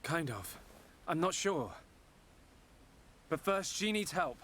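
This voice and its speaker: Earnest young game hero, high bright voice, some ambience behind. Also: male voice, big pitch swings, slow pace, a little hiss or hum, full character voice. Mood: concerned. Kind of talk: video game voice acting.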